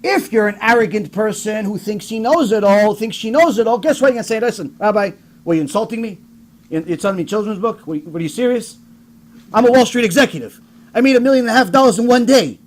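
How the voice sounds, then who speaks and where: A male rabbi preaches informally in a very high-pitched, husky voice. His pitch swings widely as he fires off rhetorical questions and acts out little dialogues. The delivery is emphatic and conversational, like storytelling from personal experience. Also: animated, quick pace. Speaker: rabbi giving a religious lecture. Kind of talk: informal sermon with personal anecdotes.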